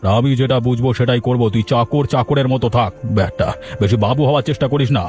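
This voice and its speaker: A man's voice: medium pitch, fast talker, a little mellow, soft background music, natural voice. A man voicing a greedy, gloating village landlord in a cartoon, domineering and bossy in his talk. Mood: scornful, irritated. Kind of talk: dubbed cartoon dialogue.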